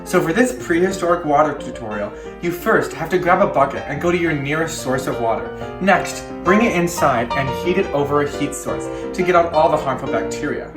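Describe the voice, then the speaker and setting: A man's voice: a little high, expressive, brisk, few pauses. A young man presenting scripted educational narration. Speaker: presenter and student actor on a sound team. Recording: roomy echoey recording with music. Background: plucked guitar background music.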